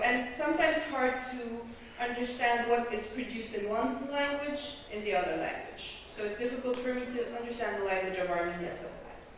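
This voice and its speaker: A woman from Egypt presents graduate research in an explanatory tone, often pausing to check that her listeners follow. Her fairly high voice comes from far off in a strongly echoing room. The sound is dull and lo-fi, with a faint hiss behind it. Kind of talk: academic presentation. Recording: echoey hall, distant lo-fi mic.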